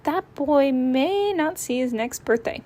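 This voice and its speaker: A woman's voice: high-pitched, lively intonation, slow, mellow. A woman voicing a smug, lazy, sarcastic male cat from a comic, with a dry delivery. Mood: deadpan.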